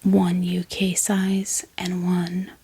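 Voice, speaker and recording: A woman speaking in a breathy whisper, gently and unhurriedly, in a casual ASMR show-and-tell style. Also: fairly low pitch, monotone. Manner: gentle, unhurried, hesitant pauses. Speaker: ASMR book haul vlogger. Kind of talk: soft-spoken whispered ASMR, casual and unscripted.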